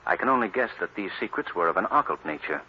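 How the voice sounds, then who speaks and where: A man's voice: low-pitched, expressive, fast. An actor playing an earnest, resolute male crime fighter in a 1940s-style radio drama, with an emphatic, dramatic delivery. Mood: serious, thoughtful. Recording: noisy, dull old radio recording.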